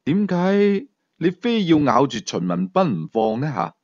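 A cool, condescending investigator in a suspense audiobook, acted out by a male narrator, with a Hong Kong Cantonese accent. He is unhurried and calculating, with expressive pitch. The sound is dry and slightly dull. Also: no echo. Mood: smug, probing.

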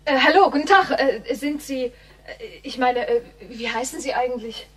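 A woman's voice: sits high, moderate pace, fairly bright tone. An actress playing a persistent, cheeky young woman with a hesitant, colloquial manner in a naturalistic radio play. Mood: nervous. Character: flustered, persistent, cheeky young woman seeking her father.

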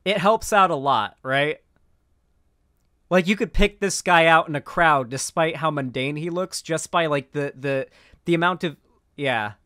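A young American man with a slightly high voice reacts as a live-streamer. He is indignant and sarcastic, stumbling over words and swearing freely. The sound is close and fairly dry. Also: sharp tone, dry recording. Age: 20s. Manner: indignant, sarcastic, halting, profane. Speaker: live-streamer, political commentator. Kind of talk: casual reaction commentary. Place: small home streaming room. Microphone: close boom mic.

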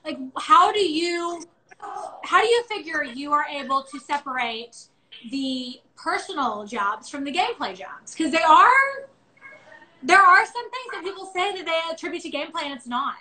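High, bright-voiced young woman chatting informally. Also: expressive, moderate pace, low-quality recording. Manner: informal, bubbly, self-deprecating, swears. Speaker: interview host. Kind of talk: casual live chat interview. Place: at home on a phone live stream. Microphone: phone.

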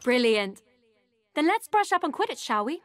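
A woman's voice: high-pitched, sing-song, moderate pace, no echo. A woman playing an encouraging young player who coaches beginners through a scripted video game tutorial, speaking in an instructive way. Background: music.

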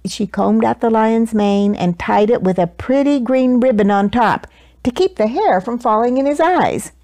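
A woman in her sixties reading a children's picture book aloud expressively, with deliberate, dramatic emphasis for young listeners. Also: fairly high pitch, lively intonation, mellow, no echo. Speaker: children's story reader. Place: small living room, indoors. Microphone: lapel mic.

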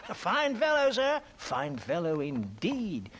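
High-pitched, blustering Englishman. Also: huge pitch swings, takes it slowly, a bit bright, slight background noise, full character voice. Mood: grateful, evasive. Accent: British English. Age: middle-aged. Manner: blustering, stammering, pompous, tags phrases with "what?". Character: dissolute, jovial middle-aged English opium trader in the Old West. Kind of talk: video game cutscene acting.

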